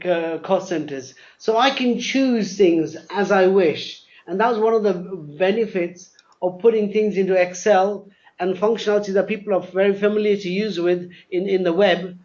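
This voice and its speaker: A man presenting a live software demo, explaining step by step, often repeating words and spelling things out. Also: high-pitched. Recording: dull, lo-fi webinar audio.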